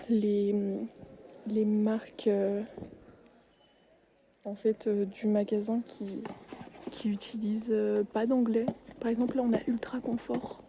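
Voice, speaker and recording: A young woman speaking softly and often whispering while tidying shelves in a supermarket aisle, with frequent hesitations. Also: a little high, a little hiss or hum. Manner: soft-spoken, drifting into whispers, many hesitations. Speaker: ASMR creator. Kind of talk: soft-spoken ASMR while tidying shelves. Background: faint store ambience.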